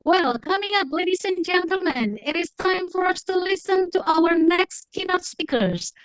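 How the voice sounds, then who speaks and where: A woman's voice: extremely high pitch, expressive, moderate pace, no echo. A woman acting as master of ceremonies, formally announcing the next session to an audience.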